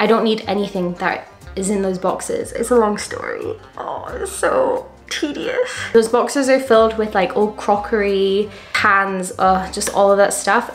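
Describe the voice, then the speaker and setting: A woman's voice: medium pitch, a bit bright. Chatty woman vlogging at home. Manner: chatty, self-deprecating, lots of "like" fillers. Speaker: lifestyle vlogger. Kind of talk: casual vlog chat to camera. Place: small apartment room, indoors. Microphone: handheld camera at arm's length.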